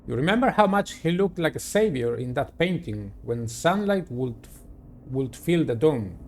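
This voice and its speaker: A man hosting a game playthrough, reading the story aloud in a dramatic, deliberate way and adding his own commentary. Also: mid-range voice, big pitch swings, darkish tone. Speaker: gaming video host. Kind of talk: reading visual novel narration aloud with personal commentary.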